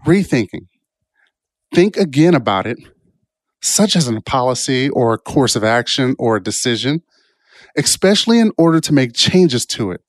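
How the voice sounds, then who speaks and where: A man with an average-pitched voice talks like an informal motivational speaker. He is reflective and tends to ramble, restarting thoughts and tagging points with "right?" The sound is dry, with hardly any room in it. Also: big pitch swings, normal speed, no echo. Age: adult, past college years. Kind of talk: informal self-help talk with personal stories.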